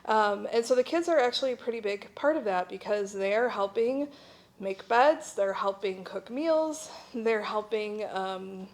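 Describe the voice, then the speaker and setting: A woman's voice: mid-range voice, animated, measured pace. A middle-aged woman speaking as a missionary at a church breakout session, explaining things in an informal, conversational way. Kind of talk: informal conference presentation.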